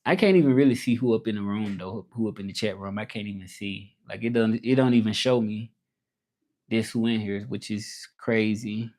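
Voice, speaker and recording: A man's voice: fairly low pitch, fairly even intonation, moderate pace, a little mellow. Casual Southern American man chatting live. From southern United States. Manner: colloquial, repeats phrases. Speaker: livestream host, language content creator. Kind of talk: casual livestream chat, switching between English and French.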